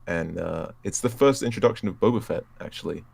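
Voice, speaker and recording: A man with a very low voice full of vocal fry. He talks casually on a podcast, with a loose and halting delivery. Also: darkish tone, no echo.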